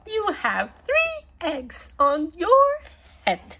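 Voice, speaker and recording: A woman voicing a calm, matter-of-fact little pig friend from a picture book, giving simple, helpful answers. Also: very high-pitched, extremely slow, pitched above the performer's own voice, full character voice. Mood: informative. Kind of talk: read-aloud children's storybook.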